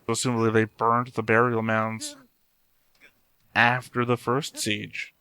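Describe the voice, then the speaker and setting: A man's voice: medium pitch, completely flat delivery, takes it slowly, sharp tone, clear, resonant tone. A man giving casual reaction commentary on a show, musing and thinking aloud as he watches. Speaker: host of an anime reaction channel.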